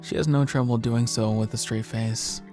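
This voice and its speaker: Medium-pitched man in casual gaming chat. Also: fairly even intonation, moderate pace, darkish tone, faint music behind. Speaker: co-player reading a visual novel aloud.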